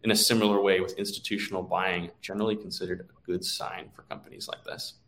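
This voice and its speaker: Guy with a lowish voice doing chatty stock market commentary, co-host type. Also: little pitch movement, fast talker, fairly bright tone, a little hiss or hum.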